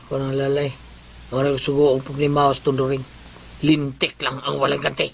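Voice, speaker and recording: A man's voice: medium pitch, monotone, normal speed, vocal fry, slight background noise, natural voice. An actor with a Cebuano Filipino accent playing a stubborn, hot-headed man with a grudge, blustering and grumbling in a comedy radio drama.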